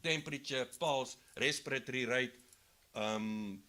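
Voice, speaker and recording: A man's voice: medium pitch, unhurried. A man with a South African accent giving a live expo lesson on livestock health to farmers, explaining step by step and often restarting his phrases. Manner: says he has a loud voice. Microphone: handheld mic, later speaks without it.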